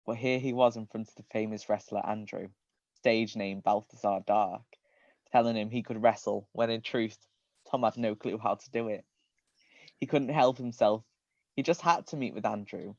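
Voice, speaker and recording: A man's voice: mid-range voice, a little mellow, no room sound, dull audio. A man reading comedic fan fiction aloud on a livestream. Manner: often breaks into giggles. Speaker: reader.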